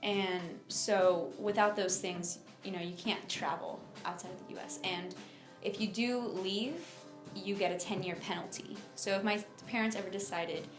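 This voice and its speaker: A young adult woman from Miami telling her personal story in an interview, speaking informally and haltingly with lots of filler phrases. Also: medium pitch, steady tone, reverberant, over music. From United States (Miami, Florida). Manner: informal, halting, frequent "like" and "you know".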